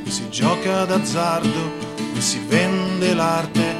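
Slow, low-pitched woman over loud guitar. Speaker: singer in a stage show. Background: loud acoustic guitar.

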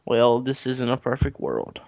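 A man co-hosting a podcast, trading dry deadpan jokes in casual banter. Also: medium pitch, measured pace, vocal fry, dry recording. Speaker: podcast co-host. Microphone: podcast mic.

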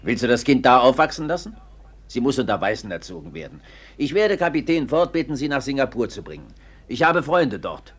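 Husky, gold-obsessed older trader, excitable. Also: male voice, medium pitch, fairly quick. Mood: insistent. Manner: urgent, emphatic, swings between hushed and shouted. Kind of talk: German radio drama acting.

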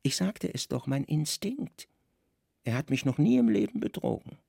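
A man playing a brooding, commanding Roman governor in a radio play, speaking with measured authority and pointed emphasis. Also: fairly high pitch, sing-song, gravelly, warm tone, husky, no echo, studio quality, voice pushed up, full character voice. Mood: grimly certain. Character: brooding Roman governor, commanding, wry, insistent on his hunches.

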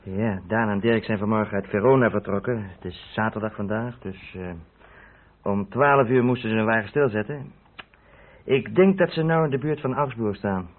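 An actor playing a matter-of-fact former truck driver turned small haulage boss in a radio play. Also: male voice, fairly low pitch, moderate pace, natural voice. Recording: thin phone-like old radio recording.

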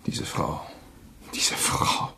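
An actor playing a poor, devoted young lover in a German radio play, tender, halting and formal in speech. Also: male voice, pushed and bright. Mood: despairing.

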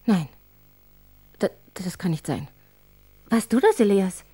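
A flustered mother in a children's radio play. Her voice is husky and on the dark side. She comes across as exasperated with her little boy. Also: mid-range voice, big pitch swings, slow, full character voice. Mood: shocked, exasperated.

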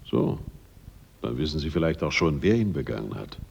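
A man's voice: rumbling low, very animated, moderate pace, gravelly, darkish tone, slightly rough, lo-fi sound, heavily acted. A man playing a stern, skeptical police inspector in a German radio drama, questioning in a terse, official way. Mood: reluctant, skeptical.

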